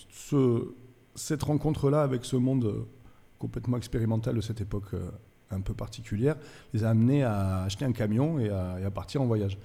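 A man's voice: fairly low pitch, warm tone, long reverb tail. A man from France, a musician guest on a live radio show at a cultural centre, telling his story thoughtfully and searching for words. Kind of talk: reflective interview storytelling.

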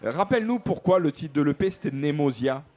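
High-voiced man hosting a live music show. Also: lively intonation, brisk, a little mellow. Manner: chummy and teasing, informal. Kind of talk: casual on-stage interview.

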